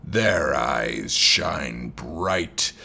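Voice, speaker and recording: A man reading a horror story aloud in a slow, dramatic narration style. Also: extremely low pitch, expressive, creaky, sharp tone.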